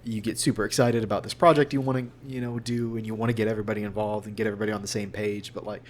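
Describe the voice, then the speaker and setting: A man's voice: fairly low pitch, flat delivery, rapid-fire. A man speaking as a voice actor in a casual interview, in an earnest, rambling way.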